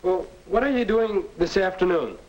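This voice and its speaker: Preachy, confident American schoolboy, high-pitched for a male, dull fifties educational film soundtrack. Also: animated, normal speed, music in the background. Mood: earnest, probing. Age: young adult. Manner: preachy, earnest. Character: earnest high school boy reporter, confident, advice-giving friend. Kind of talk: 1950 instructional film acting.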